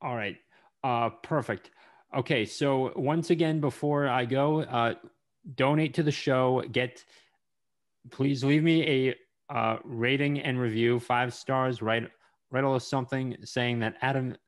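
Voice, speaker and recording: A man hosting a podcast interview in a casual, halting way, often repeating and stumbling over his words. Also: medium pitch, steady tone. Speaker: podcast host.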